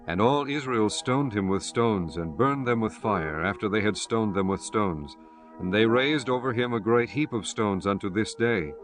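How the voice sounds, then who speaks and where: A man reading scripture as the narrator of a dramatized audiobook, in a solemn, measured manner. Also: on the low side. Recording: music behind the voice.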